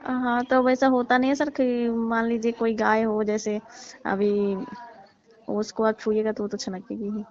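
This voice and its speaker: A woman's voice: on the high side, little pitch movement, measured pace, a little mellow, lightly acted. A young woman playing a coy, bashful female student, speaking hesitantly and pleadingly in casual conversation. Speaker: performer in a comic prank-style phone call skit. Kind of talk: casual phone conversation skit. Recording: muffled lo-fi phone call recording.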